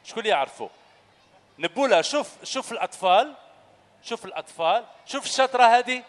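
Algerian guy hosting a kids show, high bouncy voice, talks fast, echoey big hall. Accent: Algerian Arabic. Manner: animated, coaxing, asks children questions. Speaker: children's show host. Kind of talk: lively quiz with children on stage. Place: large indoor sports hall full of children. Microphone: handheld mic. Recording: echoey hall, loud crowd.

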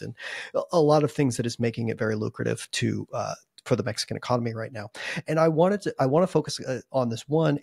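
A man's voice: medium pitch, creaky, dry recording, clean studio sound. A man in his thirties on a video call from a small home office, talking stocks in a casual, rambling way with many restarts. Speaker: investing analyst guest. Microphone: desk podcast mic on video call.

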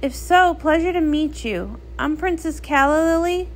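A woman's voice: sits high, moderate pace, voice pushed up. A woman voicing a haughty young mermaid princess with a prim, dramatic delivery in a comic read-along. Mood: prim, nervous.

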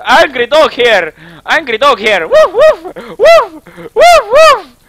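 A man's voice: very high voice, sing-song, slow pace, a bit bright, a little hiss or hum, pitched above the performer's own voice, exaggerated voice. A man voicing a frantic, angry dog with yelping barks in a game.

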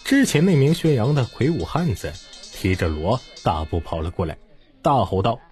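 A man's voice: medium pitch, sing-song, normal speed, darkish tone. A man narrating a story in a dramatized, scripted audiobook style. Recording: music bed behind the voice.

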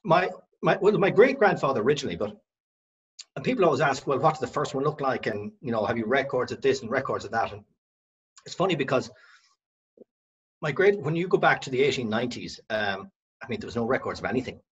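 A man's voice: mid-range voice, animated, fast talker, breathy edge, highs cut off. A middle-aged Irish man from the west of Ireland, a company director telling his family history in a rambling, self-correcting way on a video call from home. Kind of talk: casual video-call interview. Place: home room.

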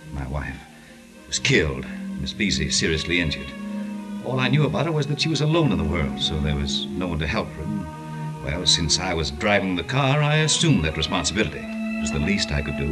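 An actor playing an anxious, well-mannered gentleman in 1940s radio drama, formal, earnest and hesitant. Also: low-pitched, low-quality recording.

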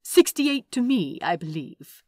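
A woman's voice: medium pitch, big pitch swings, measured pace, darkish tone, no echo, clean studio sound. A woman voicing a prim, fond but indignant male angel in a fan fiction reading, precise and emphatic. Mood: smug.

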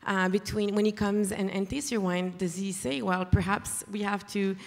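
A woman moderating a conference panel, briskly steering questions to the speakers. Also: medium pitch, flat delivery, gravelly. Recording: long hall reverb.

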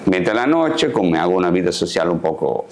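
A man in his sixties, a tailor, calmly explaining his daily routine in a sit-down interview, recorded on a lapel mic in a living room set. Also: low-pitched, brisk, slight background noise. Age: 60s. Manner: calm, explanatory, gestures while talking. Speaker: guest, tailor. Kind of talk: casual interview talk.

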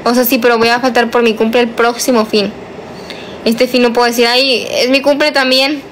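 A nineteen-year-old woman chats and teases on a livestream, her voice a little high and her words sometimes drawn out. She speaks into a phone held close in a small bedroom at night. A faint air-conditioner hum runs underneath. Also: flat delivery, fairly bright tone, low-quality recording. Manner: chatty, teasing, drawn-out words. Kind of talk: casual livestream chat, reading viewer comments. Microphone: phone held close, selfie framing.

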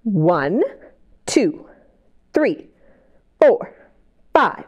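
A middle-aged American woman hosting a library storytime, reading aloud to young children in an expressive, sing-song way and drawing them in with questions. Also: medium pitch, extremely slow. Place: indoor library children's area. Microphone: lapel mic.

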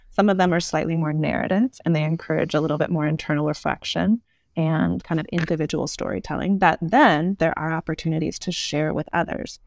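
A woman's voice: deep voice, vocal fry, darkish tone, no room sound, studio quality. A woman giving thoughtful, articulate explanations as a guest in an interview conversation. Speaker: podcast guest, urban design expert.

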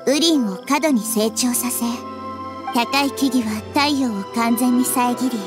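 A woman's voice: fairly high pitch, measured pace, over music, close to an everyday voice. A woman voicing a small, childlike nature goddess in a game, wise, thoughtful and articulate. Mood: grave, worried. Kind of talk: scripted game dialogue.

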